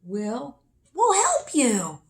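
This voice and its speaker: A woman's voice: high-pitched, huge pitch swings, extremely slow, pitched above the performer's own voice, heavily acted. A woman voicing eager little woodland animals in a storybook, questioning and exclaiming. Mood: encouraging. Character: eager, encouraging little animal friends of a girl.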